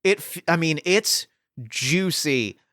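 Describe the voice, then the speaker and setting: A man's voice: on the high side, lively intonation, slow, a crowd in the background. A man hosting as game master, casual and encouraging, often repeating words as he gathers his thoughts. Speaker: game master and podcast host.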